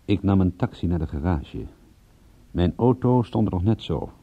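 Wry private eye in a radio play, husky low voice, dry and laconic, kinda dull lo-fi recording. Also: male voice, lively intonation, moderate pace. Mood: dry narrating. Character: wry male private detective narrating his own case. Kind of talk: radio play acting with first-person narration.

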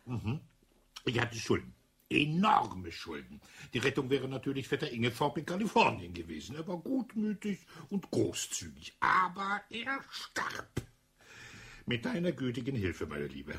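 An actor in a radio play voicing a suave, ironic man who marries for money, with a husky, breathy voice. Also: mid-range voice, unhurried, full character voice. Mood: confessional. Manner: smooth, ironic, flattering.

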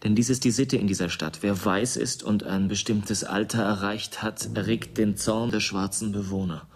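An actor playing a grave, strange, wounded youth who speaks in plain, solemn, measured statements in a German radio drama. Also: male voice, fairly low pitch, fairly even intonation, close to an everyday voice. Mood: grave, forlorn.

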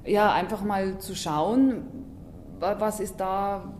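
A woman's voice: mid-range voice, takes it slowly, darkish tone. A woman giving a casual interview answer about literature. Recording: echoing room, faint background noise.

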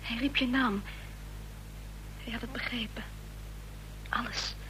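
An earnest, anxious young woman in a radio drama. Her voice is fairly high and bright, with slow, intense phrasing. The old recording carries faint noise and hum. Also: fairly even intonation, poor audio. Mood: hushed, amazed. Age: young adult. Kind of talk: Dutch radio drama acting.

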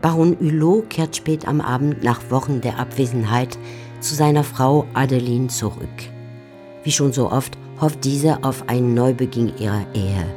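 A woman's voice: extremely low pitch, normal speed, mellow, soft background music. A woman giving composed, articulate scripted narration for a literary radio drama.

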